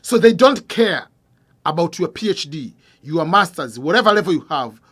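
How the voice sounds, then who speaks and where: Earnest man explaining with a high voice. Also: lively intonation, normal speed, slightly rough. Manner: earnest, repeats and rephrases points, asks the viewer questions; voice drops low and quiet at ends of phrases. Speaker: scholarship advice channel host. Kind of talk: informal explanatory talk to camera.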